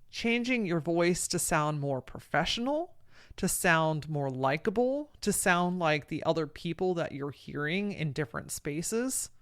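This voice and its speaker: A woman in her thirties hosts her own podcast with a deep, lowish voice. She talks frankly and casually, encouraging her listeners and now and then letting a mild curse slip. Her delivery is that of a solo motivational talk. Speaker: podcast host.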